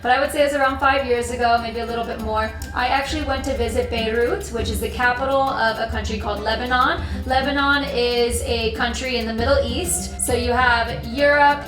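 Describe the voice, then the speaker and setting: A young woman with an American accent talks to her viewers in an earnest, meandering way, circling back over her own points. Her voice sits a bit high and comes from some way off the mic in a roomy, echoing space. Music plays clearly underneath. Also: recorded from a distance. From United States. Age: young adult. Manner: earnest, rambling, repeats herself. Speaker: travel vlogger. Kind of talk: casual talk to camera.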